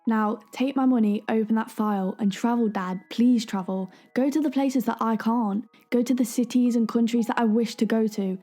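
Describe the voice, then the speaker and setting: A young woman acting as a loving, earnest daughter in an audio drama, speaking a gentle, heartfelt letter to her father. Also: a little high. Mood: pleading, loving.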